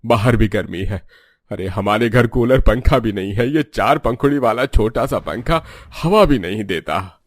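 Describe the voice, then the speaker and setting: A man playing a gentle, weary older village father-in-law in a cartoon, fatherly and homely. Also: fairly low pitch, lively intonation, moderate pace, dry recording, studio quality. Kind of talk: Hindi cartoon story dubbing.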